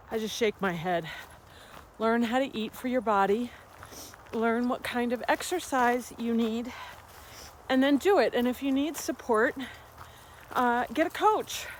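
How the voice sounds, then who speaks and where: A woman's voice: on the high side, slight background noise. A woman in her fifties, chatting animatedly in a casual vlog as she walks uphill outdoors, a little out of breath. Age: 50s. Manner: chatty, animated storytelling with laughs. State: slightly out of breath from walking uphill. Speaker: vlogger and health coach. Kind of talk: casual vlog while walking. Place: outdoors on a rural uphill trail.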